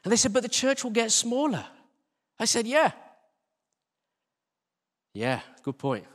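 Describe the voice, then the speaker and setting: High-pitched British man preaching, reverberant hall. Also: huge pitch swings, measured pace, fairly bright tone. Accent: British English. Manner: conversational, self-deprecating, with rhetorical questions and repetition. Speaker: church team leader preaching. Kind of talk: informal sermon to a congregation. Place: large reverberant indoor church space. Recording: live church hall, long reverberation.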